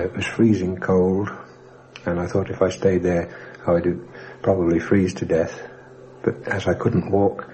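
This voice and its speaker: Male documentary interviewee, very deep and mellow, measured and factual recollection, hissy 1960s radio sound. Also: muffled recording. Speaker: air crash survivor recounting his wartime experience.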